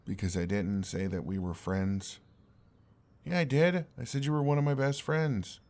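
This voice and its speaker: Man acting as earnest guy friend, low creaky voice. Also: steady tone, normal speed, darkish tone, close to an everyday voice. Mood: frustrated, confused. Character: exasperated, earnest, oblivious young male best friend. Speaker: audio roleplay performer. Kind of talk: intimate audio roleplay acting.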